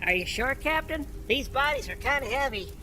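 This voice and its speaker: Woman as a high, bright young militia underling. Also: lively intonation, normal speed, a little hiss or hum, heavily acted. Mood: unsure, calling out. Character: young militia underling man, eager but unsure.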